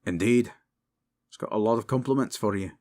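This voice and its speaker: A man's voice: on the low side, a little fast, darkish tone, natural voice. A man voicing a dour but generous dwarf king with a blunt, commanding way, in a fantasy audio drama. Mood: approving.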